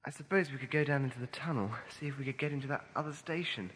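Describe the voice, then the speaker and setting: A man's voice: mid-range voice, very fast, a bit bright, slight background noise, close to an everyday voice, low-quality recording. A man playing an anxious, sceptical young companion to a time traveller in an audio drama. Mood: uneasy, wry. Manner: wry, sceptical. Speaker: actor in a fan audio drama. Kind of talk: science fiction audio drama acting.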